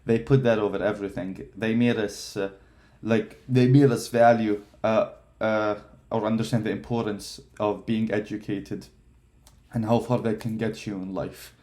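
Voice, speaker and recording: A man's voice: fairly low pitch, flat delivery, normal speed, warm tone. A man in his twenties from Palestine, telling his life story casually with many fillers and restarts. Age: 20s. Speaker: vlogger.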